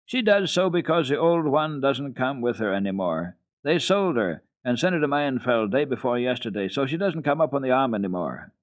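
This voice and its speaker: A man's voice: mid-range voice, big pitch swings, normal speed, hardly any pauses, pitched above the performer's own voice, natural voice. A man voicing a blunt, bossy young goatherd boy who gives curt commands. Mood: matter-of-fact. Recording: tinny old radio recording.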